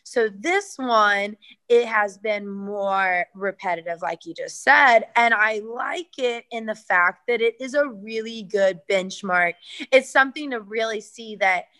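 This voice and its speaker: A woman's voice: mid-range voice, unhurried, fairly bright tone. A young adult woman fighter, answering interview questions earnestly, with many fillers and restarts. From United States.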